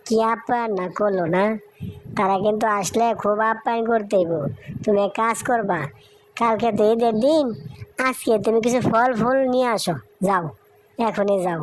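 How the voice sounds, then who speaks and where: A woman's voice: a little high, slight background noise, close to an everyday voice, low-quality recording. A woman voicing a cheerful, sociable village wife in a cartoon, chatty and homely, with a Bangladeshi Bengali accent. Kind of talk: amateur cartoon dialogue.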